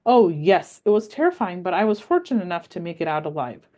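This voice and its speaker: A woman's voice: lowish voice, very animated, quick pace. A woman doing a wise old grandma. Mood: fond. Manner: gentle, storytelling, reassuring. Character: warm, wise old grandmother, patient and playful. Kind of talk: read-aloud storytelling.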